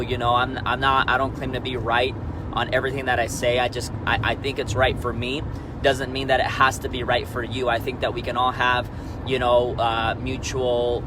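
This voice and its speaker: A man's voice: mid-range voice, noisy background. A man in his thirties, originally from Peru, giving a candid, rambling motivational talk on a live stream about network marketing, often checking in with "right?". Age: 30s. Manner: candid, rambling, frequent restarts and "right?" asides.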